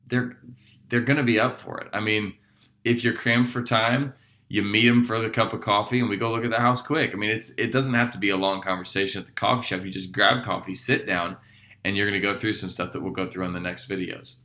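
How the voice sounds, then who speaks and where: A man's voice: fairly low pitch, fairly even intonation, a little fast, pushed and bright. A man with an American accent giving an informal real estate training talk in an upbeat, emphatic, colloquial way. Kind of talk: informal training talk with acted-out phone calls.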